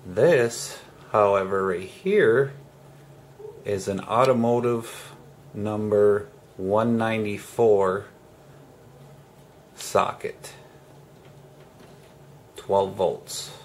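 A low-voiced man walks through a hands-on job in a casual, unhurried way. His delivery is halting and broken into short phrases, with a lot of creak in the voice. Some steady room noise sits behind him. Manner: halting, pausing mid-sentence in short phrases. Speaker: homesteader host of a DIY tutorial. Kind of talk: casual hands-on how-to explanation.

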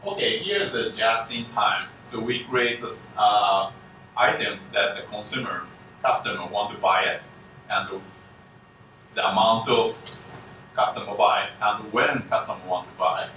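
A man gives a conference keynote talk in halting English full of restarts, speaking from the front of a conference room. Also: fairly low pitch, animated, sharp tone. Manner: halting non-native English, frequent restarts. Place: conference room with projector screen. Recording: echoey room, distant mic, faint hum.

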